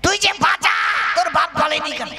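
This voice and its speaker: A man voicing a poor, excitable village husband puppet in a folk comedy, exclaiming and repeating himself as he plays to the audience. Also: very high voice, fairly quick, heavily acted. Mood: scolding. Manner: folksy, exclamatory, repetitive, addresses the audience.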